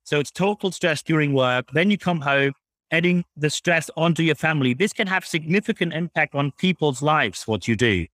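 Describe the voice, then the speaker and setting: A man's voice: a little high, normal speed, fairly bright tone, no echo. Blunt German-accented man, close dry sound. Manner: blunt, emphatic. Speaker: guest, public speaker on leadership. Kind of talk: interview answers, explanatory.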